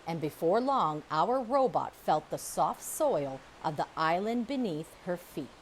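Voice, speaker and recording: A woman reading a children's chapter book aloud, with expressive, measured storytelling and dramatic pauses. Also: lowish voice, slight background noise, low-quality recording. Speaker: storybook reader. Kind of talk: children's audiobook read-aloud, scripted narration.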